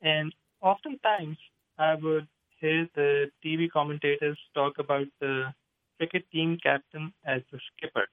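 A man's voice: fairly high pitch, fairly even intonation, unhurried. Man from Pakistan calling on a phone line. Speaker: caller. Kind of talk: casual question on a call-in show. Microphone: telephone. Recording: tinny, narrow phone line.

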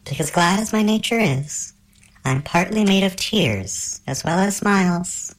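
A man's voice: sits high, lively intonation, measured pace, a bit bright, reverberant, pitched above the performer's own voice, low-quality recording. A man voicing a tiny, cheerful winged female fairy spirit in a storybook, with a small sweet voice and a coaxing, arch lilt.

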